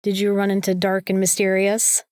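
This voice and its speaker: A sarcastic, opinionated young female student played by a woman in an audio drama. She speaks emphatically and bossily at a middling pitch. The sound is close and dry, with no room around it. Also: monotone, normal speed, darkish tone, clear, resonant tone, no echo, natural voice. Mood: scolding, sarcastic. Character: bossy, sarcastic young female student, vampire slayer's sidekick.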